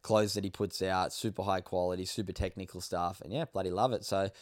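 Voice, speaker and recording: A man's voice: low-pitched, steady tone, fairly quick. A young Australian man hosting a casual podcast from his bedroom studio, upbeat and chatty with slangy asides. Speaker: podcast host.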